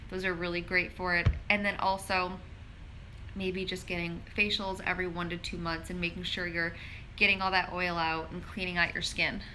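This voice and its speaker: A woman's voice: lowish voice, pushed and bright, slight background noise, low-quality recording. A woman pharmacist answering viewer questions in a casual live stream, talking chattily with lots of "like" and reading questions aloud, recorded on a handheld phone. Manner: chatty, frequent "like" fillers, reads questions aloud. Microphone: phone held in hand.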